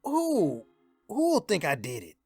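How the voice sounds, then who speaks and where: A woman's voice: lowish voice, wildly swooping pitch, slow pace, a little mellow, husky, soft background music, heavily acted. A woman playing a cowardly, panicky crook who stammers and pleads in an audio drama. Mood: panicked. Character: Schuster, panicky cowardly crook begging for his life.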